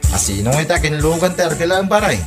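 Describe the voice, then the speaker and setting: A man's voice: fairly high pitch, very animated, racing. A man with an Ilocano accent playing a casual village buddy in a comedy radio drama. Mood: casual, helpful. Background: music.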